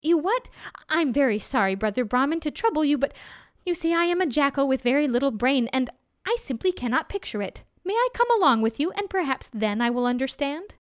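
A woman's voice: high voice, expressive, a little fast, no echo, studio quality. A woman voicing a storybook jackal, eager and befuddled, acting foolish but secretly clever, often repeating words. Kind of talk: storybook character voice.

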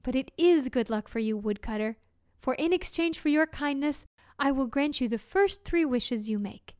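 A soft-spoken fairy from a storybook, as a woman puts her on, gentle and solemn, pleading quietly. The voice sits high and the sound is dry and close. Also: animated, moderate pace, smooth, no room sound. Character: gentle, solemn female fairy guardian of a tree.

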